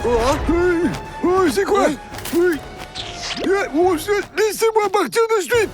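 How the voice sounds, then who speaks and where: A man's voice: very high-pitched, expressive, slow pace, full character voice. A man playing an indignant, boastful old village grandpa in a cartoon, blustering and whiny. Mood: panicked, indignant. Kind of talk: cartoon dub acting. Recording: music under the voice.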